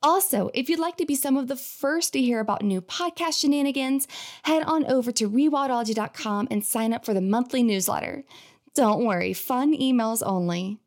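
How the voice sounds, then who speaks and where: A woman's voice: on the high side, normal speed. A woman hosting a podcast, reading an upbeat intro and chatting with a guest in a bubbly, enthusiastic way. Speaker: podcast host.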